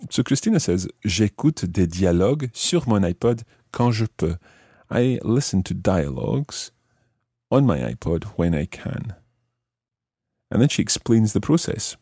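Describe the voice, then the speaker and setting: A man hosting a language lesson, explaining in a deliberate way and repeating phrases word by word. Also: on the low side, sing-song, dark tone, slightly rough, dry recording, clean studio sound. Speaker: language teacher and podcast host.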